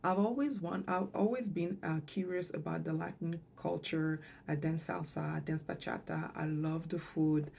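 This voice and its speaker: Low, creaky-voiced woman. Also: steady tone, normal speed, few pauses, a little mellow, slight background noise. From Canada, moved there with parents, lived in Montreal. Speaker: financial coach and vlogger. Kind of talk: personal vlog talk to camera.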